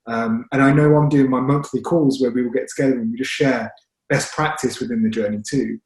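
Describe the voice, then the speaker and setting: A man's voice: mid-range voice, steady tone, fairly quick, darkish tone, reverberant, low-quality recording. A man, a fitness coach hosting, asking questions in a chatty, encouraging way over a video call. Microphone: video call webcam.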